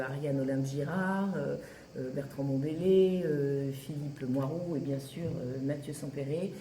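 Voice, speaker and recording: A woman's voice: low voice, warm tone, echoey room, a little hiss or hum, low-quality recording. A woman, a playwright and actress, talking about her play in an interview with frequent hesitations. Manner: hesitant, many fillers, cheerful. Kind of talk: festival interview, casual chat.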